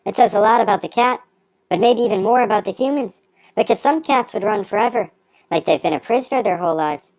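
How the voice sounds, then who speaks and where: Man doing a young female kitten, high-pitched, curious, innocent, earnest, echoing reverb, audiobook character. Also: fast talker, a little mellow. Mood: eager. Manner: childlike, inquisitive. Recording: echoey, long reverb.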